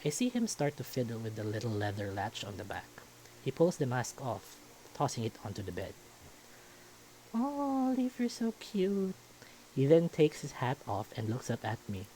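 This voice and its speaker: A man's voice: on the low side, sing-song, brisk, mellow, slight background noise, rough recording. A man reading a visual novel aloud as first-person story narration.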